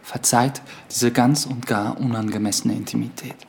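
A man's voice: mid-range voice, fairly even intonation, moderate pace, vocal fry, close to an everyday voice. A man in a German radio drama playing a shy, polite priest who speaks hesitantly and stammers. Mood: earnest, awkward. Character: shy, polite male priest, earnest and flustered. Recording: loud background noise of hall.

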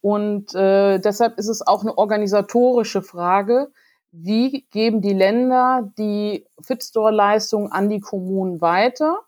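A woman's voice: medium pitch, darkish tone. Woman carefully explaining legal details. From Germany, grew up in Hesse. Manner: measured, formal legal explanation with fillers. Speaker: guest, lawyer. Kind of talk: explaining in an interview. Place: remote call.

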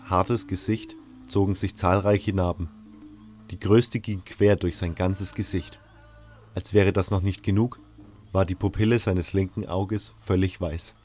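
A man's voice: deep voice, fairly even intonation, unhurried, a little mellow, faint music behind. A man narrating a fantasy audio drama in the first person, reading from a script.

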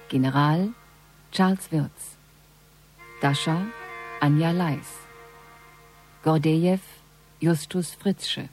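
An announcer formally reading scripted title and cast credits for a radio play in a measured way. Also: medium pitch, darkish tone.

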